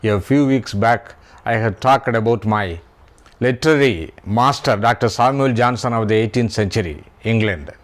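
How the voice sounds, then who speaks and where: A man with an Indian accent, hosting his own channel and talking about literature in a slow, careful way, sometimes spelling words out letter by letter. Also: mid-range voice. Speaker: YouTube host, writer.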